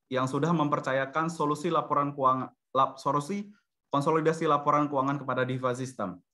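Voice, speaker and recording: Man, Indonesian accent, fairly high bright voice, explanatory webinar presenter, semi-formal corporate pitch, English business terms mixed in. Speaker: webinar presenter for a software company. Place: online video-conference webinar.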